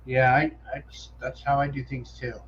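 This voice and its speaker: A man's voice: medium pitch, flat delivery, moderate pace, fairly bright tone, highs cut off, a little hiss or hum. A man with a nasal voice, co-hosting a livestream in casual, easygoing chat. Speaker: co-host.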